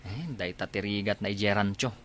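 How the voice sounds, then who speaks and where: A man's voice: lowish voice, fairly quick, fairly bright tone, slight background noise, close to an everyday voice. An actor playing a worker at a clinic who speaks politely and deferentially to his boss.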